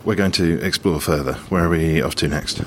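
Super deep guy, radio presenter, wry and slow-ish, bit of faint noise. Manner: measured, wry and playful. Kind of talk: on-location interview.

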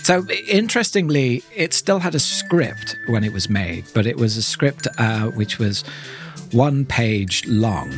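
A man's voice: medium pitch, lively intonation, unhurried, faint music behind. A man hosting a podcast, talking through an introduction in a thoughtful, explanatory way. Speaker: podcast host.

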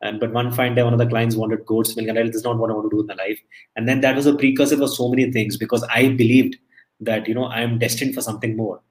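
Fast-talking man telling stories. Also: medium pitch, level tone, low-quality recording. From India. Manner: chatty, storytelling, many restarts. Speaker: interview guest, emcee and entertainer. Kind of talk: casual interview talk.

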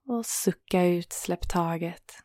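A woman guiding a yoga nidra relaxation in a calm, soothing manner, leaving gentle pauses between phrases. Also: on the low side, no echo, studio quality. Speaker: meditation guide and host.

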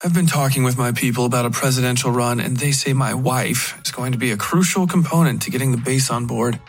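A man voicing a menacing, contemptuous husband in a dark audio story, condescending, sneering and profane. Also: mid-range voice, quick pace, faint music behind, lightly acted. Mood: scornful. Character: menacing, contemptuous, abusive husband with political ambitions. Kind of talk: dramatic audiobook character dialogue.

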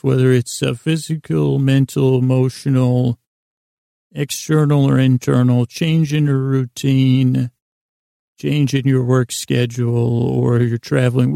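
A man rambles through a whimsical sleep podcast monologue in a deeply gravelly, creaking voice. His tone is dark and relaxed, recorded close and dry. He halts, loses the thread and circles back in an absent-minded way. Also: no room sound. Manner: meandering, halting, absent-minded, trailing off and doubling back. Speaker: podcast host.

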